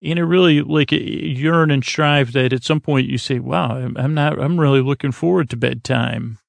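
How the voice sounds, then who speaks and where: A man with a gravelly, creaky voice at a middling pitch, recorded close and dry. He hosts a bedtime podcast, wandering through his thoughts with stammers, repeated words and sentences left hanging. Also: no echo. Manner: meandering, halting, stammering, trails off mid-thought; acts out imagined back-and-forth dialogue. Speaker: podcast host. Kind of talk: rambling bedtime monologue.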